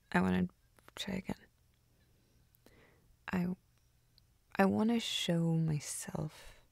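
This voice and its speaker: A woman playing a shy, affectionate young woman in an intimate roleplay audio, speaking softly and breathily, often near a whisper, with halting stammers. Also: fairly low pitch, gravelly, breathy edge, dry recording, exaggerated voice. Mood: earnest, hesitant. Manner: hesitant, halting, with nervous stammers.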